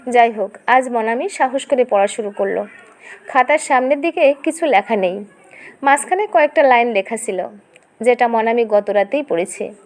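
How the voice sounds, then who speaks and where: Woman, high-pitched voice, story narrator, scripted dramatic reading, expressive and emotive delivery. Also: moderate pace.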